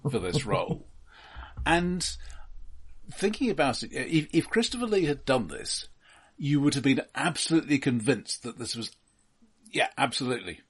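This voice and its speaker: A middle-aged man from the UK with a husky, rough-edged voice and a British accent. He discusses films casually as a podcast co-host, drily and haltingly, doubling back on his words. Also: medium pitch, big pitch swings. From United Kingdom.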